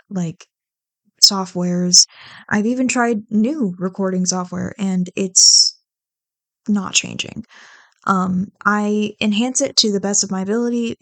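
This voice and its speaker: A woman's voice: medium pitch, fairly bright tone, no room sound. A woman chatting casually to her listeners in a rambling, self-deprecating way, swearing freely, close to the microphone. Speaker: ASMR roleplay audio creator.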